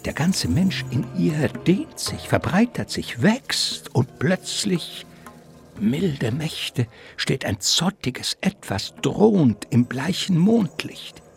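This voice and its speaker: An actor narrating a mock gothic mystery radio play in a theatrical, savouring, suspenseful literary style. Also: male voice, fairly high pitch, sing-song, slow pace, gravelly, faint music behind, heavily acted.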